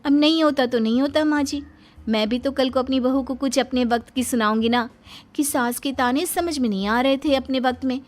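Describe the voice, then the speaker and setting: A woman voicing a fed-up, cheeky young Indian daughter-in-law in an animated moral story, sassy and dramatic. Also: high voice, normal speed, slight background noise, lightly acted. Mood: sassy, defiant. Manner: sassy, mock-innocent, dramatic.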